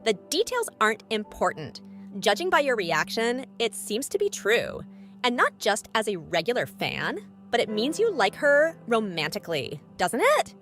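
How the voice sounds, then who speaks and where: Romance dub role: actress as cool, self-possessed young woman, direct questioner, bright tone, music behind. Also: fairly high pitch, very animated, normal speed. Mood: probing. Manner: composed, direct, probing. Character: cool, poised, probing beautiful woman of twenty-seven. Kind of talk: animated comic dub acting. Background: background music.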